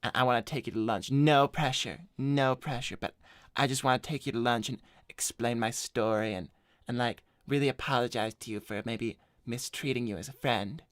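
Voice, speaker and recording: A man's voice: mid-range voice, moderate pace, a bit bright, no echo, clean studio sound, lightly acted. A man voicing a cocky, broke hedgehog slacker in an improvised cartoon livestream, casual, blunt and self-assured. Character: cocky, broke male hedgehog slacker giving shady advice. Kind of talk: improvised in-character livestream chat.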